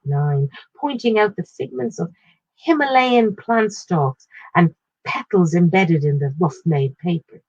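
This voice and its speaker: A woman reading a literary first-person short story aloud in a measured, expressive way with pauses. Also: sits low, slightly rough, highs cut off.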